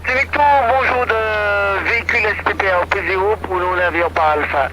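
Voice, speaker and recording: A man's voice: sits high, steady tone, normal speed. A man making clipped procedural calls over aviation radio. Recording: noisy, telephone-like aviation radio transmission.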